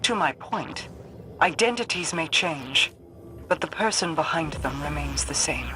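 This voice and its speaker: A woman voicing a regal, solemn video game queen who speaks in a formal, measured, cryptic way. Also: deep voice, lively intonation, pushed and bright, slight background noise, heavily acted. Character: regal, solemn Awoken queen guiding a guardian. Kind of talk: scripted video game dialogue. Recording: roomy, distant, music behind.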